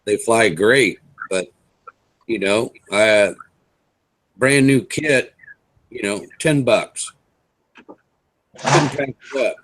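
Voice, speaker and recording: Easygoing man in his fifties, low-pitched. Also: fairly bright tone, a little hiss or hum, rough recording. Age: 50s. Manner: easygoing, chatty, drawn-out fillers. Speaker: host. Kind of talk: casual video hangout chat.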